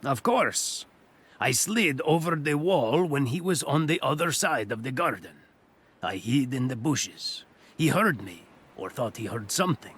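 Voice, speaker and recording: An actor playing a cunning, boastful master thief in a fantasy audio drama, with a theatrical, self-assured delivery. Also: male voice, medium pitch, very animated, measured pace, a bit bright, husky, heavily acted.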